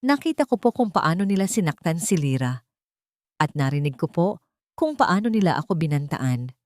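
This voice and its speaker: A woman's voice: low-pitched, sing-song, moderate pace, darkish tone, no room sound. A woman with a Filipino accent voicing a timid but brave young waitress, polite and earnest, in a romance story. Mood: firm, brave.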